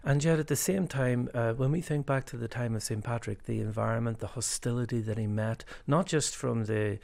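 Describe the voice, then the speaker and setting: A man from Derry with a Northern Irish accent, an archbishop speaking thoughtfully with frequent pauses and fillers. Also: medium pitch, vocal fry, a little mellow. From Derry, Northern Ireland. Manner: thoughtful, hesitant with many fillers. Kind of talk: conversational joint address.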